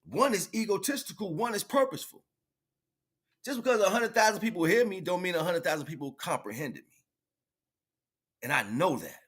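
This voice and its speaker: A man's voice: high-pitched, expressive, brisk, long pauses, fairly bright tone, breathy edge. A man giving an improvised spiritual, motivational livestream talk in an emphatic, preachy way, repeating phrases for effect.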